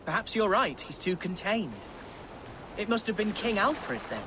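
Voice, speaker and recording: A man's voice: high voice, expressive, normal speed, noisy background. A man playing a melodramatic, naive young nobleman in a video game, florid and theatrical in his delivery. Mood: earnest, florid. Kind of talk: video game cutscene acting.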